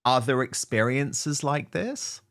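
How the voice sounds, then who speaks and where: A man's voice: mid-range voice, animated, slow, fairly bright tone. Emphatic male talk show host. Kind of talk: online talk show commentary, reading out messages.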